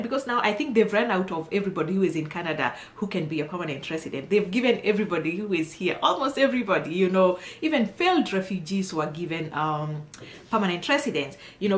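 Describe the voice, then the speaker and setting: A woman speaking informally to viewers as an immigration consultant, emphatic and encouraging, repeating phrases for stress. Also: medium pitch. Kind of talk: informal explainer addressed to viewers. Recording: roomy, echoing voice.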